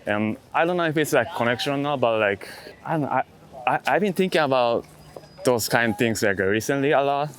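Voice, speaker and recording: Halting man, reflective and casual. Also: mid-range voice, animated. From outside the US. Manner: halting, hesitant phrasing in short fragments; non-native English phrasing. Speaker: street photographer being interviewed.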